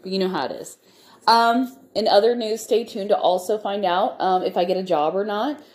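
A woman in her thirties from the Midwest, hoarse and stuffed up from a cold, giving a rambling casual update to her podcast listeners with lots of ums. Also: mid-range voice, moderate pace, a little mellow. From Nebraska, US Midwest. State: hoarse, congested. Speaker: podcast host.

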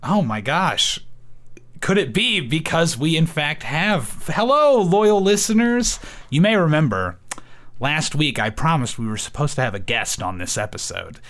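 A young man co-hosts a podcast in a slightly high voice. His pitch jumps around a lot as he teases his co-host. His chat is joking and playfully sarcastic. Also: lively intonation, unhurried, a bit bright.

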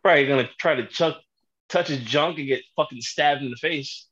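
A man joking and swearing in excitable casual chat on a podcast. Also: a little high, brisk. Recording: muffled lo-fi mic.

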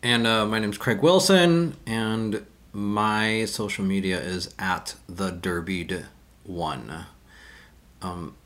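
A man co-hosting a casual podcast, speaking earnestly and thoughtfully with frequent filler pauses. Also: lowish voice, a bit bright. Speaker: podcast co-host.

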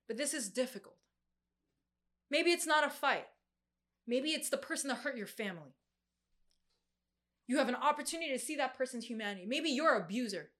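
A young woman with a Korean family background, preaching a sermon in a conversational way with many fillers and restarts. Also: sits high.

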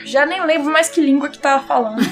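A young woman with a Brazilian accent recounts her own story, lively and quick to laugh. Her voice sits high. Soft music plays faintly underneath. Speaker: guest violinist. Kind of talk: telling a personal story in casual chat.

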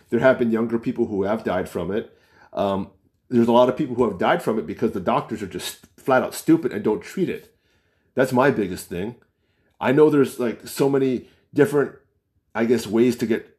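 A man's voice: lowish voice, darkish tone. A man from the United States speaking off the cuff in an opinion monologue, full of rhetorical questions and restarts. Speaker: political commentator.

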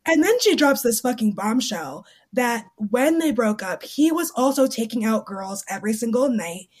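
A woman's voice: on the high side, lively intonation, moderate pace, a little mellow, breathy edge. A woman hosting a podcast, recapping reality TV in a casual, gossipy, sweary and explanatory way. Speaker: podcast host.

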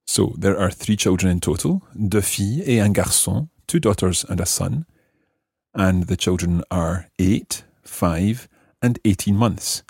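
A man presents a language lesson in a low, husky voice. He explains phrase by phrase and repeats things deliberately. The sound is dry and close. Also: a little mellow, no room sound. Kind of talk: explaining French grammar phrase by phrase.

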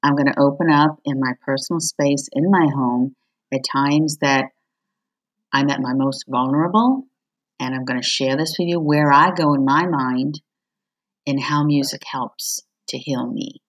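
A woman who hosts a podcast and writes songs, talking openly and reflectively at home while hoarse from losing her voice. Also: rumbling low, a little slow, poor audio. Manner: open, reflective, confiding. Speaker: podcast host, singer-songwriter. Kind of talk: candid personal monologue. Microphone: computer recording.

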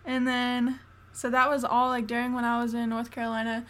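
Young woman from florida on a podcast, kinda high voice, laid back and giggly. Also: monotone, fairly quick, fairly bright tone. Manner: giggly, easygoing storytelling. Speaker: podcast co-host. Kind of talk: casual chat.

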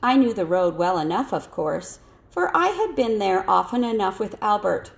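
Female audiobook reader for children's fiction, measured storytelling delivery, noticeable vocal fry. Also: medium pitch, big pitch swings. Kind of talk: scripted narration of a children's novel.

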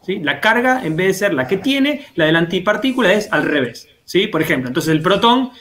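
High-voiced Argentine man explaining things. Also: big pitch swings, brisk, a bit bright, a little hiss or hum. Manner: didactic, checks understanding with tag questions. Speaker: panelist explaining science. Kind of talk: casual explanatory chat.

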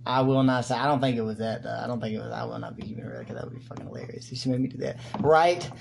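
A man's voice: medium pitch, very animated, racing, gravelly, noisy background. Joking, profane man on a podcast. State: high. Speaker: podcast co-host. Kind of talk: casual crude chat.

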